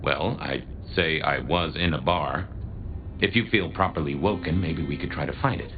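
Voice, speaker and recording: A man's voice: very deep voice, moderate pace. An actor voicing a chatty, wry, century-old male vampire in a video game, with a folksy, theatrical delivery. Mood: musing. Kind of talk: video game dialogue acting. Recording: muffled game audio under music.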